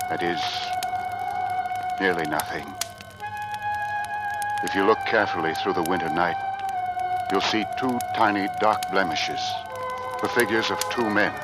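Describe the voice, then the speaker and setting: A low-voiced, dramatic seafaring first mate. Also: male voice. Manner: theatrical, deliberate, dramatic phrasing. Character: grave seafaring first mate recounting his ordeal, bashful with ladies. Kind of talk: mid-century radio drama acting, narration and dialogue. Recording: old radio recording with orchestral music.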